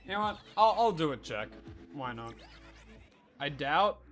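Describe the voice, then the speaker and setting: A young man with a slightly high, stuffy, nasal voice chats through a gaming stream, greeting viewers and thinking out loud with plenty of fillers. Soft music plays faintly underneath. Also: big pitch swings, unhurried. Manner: chatty, greets viewers, muses aloud with fillers. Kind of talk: casual live-stream chat while gaming.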